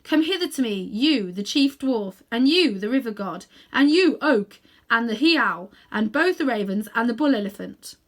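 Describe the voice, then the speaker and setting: A woman voicing a strong, majestic male lion ruler, speaking in a solemn, measured, commanding way for a storybook. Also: on the high side, animated. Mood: grave, commanding.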